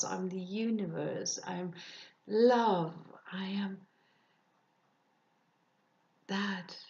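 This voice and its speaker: A woman leading a guided meditation, speaking softly and soothingly, often dropping into breathy whispers. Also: mid-range voice, dull audio. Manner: slow, soft, soothing with breathy whispered phrases.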